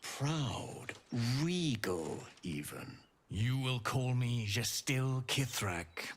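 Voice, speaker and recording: An actor playing a merciless, scornful Githyanki knight commander in a fantasy video game, speaking in a commanding, theatrical way. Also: male voice, mid-range voice, sing-song, drawn-out words, full character voice. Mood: silky menace.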